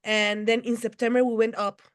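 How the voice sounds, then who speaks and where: Woman, roundtable host; short friendly answers, voice a bit high. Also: flat delivery, fairly quick, clean tone. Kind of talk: casual video call roundtable.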